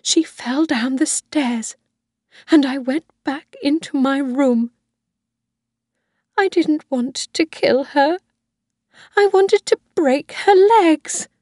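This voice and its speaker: A woman voicing a frail, obsessive old grandmother who talks in a shrill, pleading way, read as an audiobook. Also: takes it slowly, pauses often, dark tone, no room sound, clean studio sound. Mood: confessing. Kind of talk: audiobook reading.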